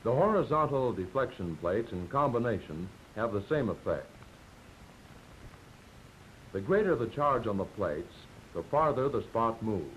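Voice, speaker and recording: An American man narrating a 1940s instructional film from a script, explaining in a measured, didactic way. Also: sing-song. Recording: dull, noisy 1940s film soundtrack with rumble.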